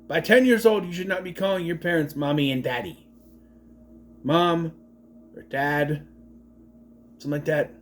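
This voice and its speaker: A man's voice: fairly high pitch, moderate pace, pauses often, slight background noise. A man in his late thirties giving a casual movie review, rambling, swearing freely and wandering off on tangents. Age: 30s.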